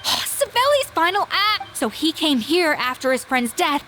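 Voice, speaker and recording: An actress playing a composed, sharp-tongued woman chef with a crisp, cool voice. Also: very high voice, sing-song, a little slow, a little hiss or hum, heavily acted. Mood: calm. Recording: loud game music behind.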